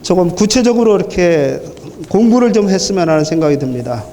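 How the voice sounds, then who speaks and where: A hoarse man preaching a sermon in church, hesitating often with drawn-out fillers. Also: sits high, animated, warm tone, long reverb tail. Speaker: church speaker.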